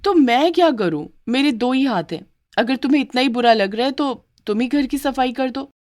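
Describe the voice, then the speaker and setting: A woman voicing an overworked young daughter-in-law in a cartoon story, high and protesting. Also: expressive, lightly acted. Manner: high, protesting, quick retorts. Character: overworked young daughter-in-law treated as a maid, put-upon.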